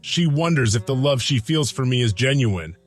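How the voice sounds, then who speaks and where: A man voicing a brooding, lovestruck ancient god in a dramatic audiodrama monologue, speaking gravely and formally in measured phrases with weighty pauses. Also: mid-range voice, animated, lightly acted. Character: lovestruck, brooding Anunnaki god, solemn and defiant. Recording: music behind the voice.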